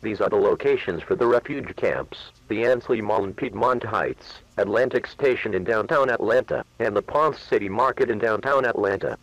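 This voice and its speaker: A man playing a grave, authoritative emergency alert announcer, reading formal scripted instructions in a husky voice over dull broadcast audio. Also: fairly low pitch, normal speed, few pauses. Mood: grave, urgent.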